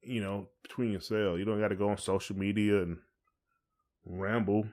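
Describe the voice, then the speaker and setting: A man's voice: lowish voice, fairly even intonation, moderate pace, a little mellow. An American man chatting casually as a podcast co-host, opinionated and given to repeating his points. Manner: opinionated, repeats points, mimics others in acted quotes. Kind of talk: casual conversational chat between spouses.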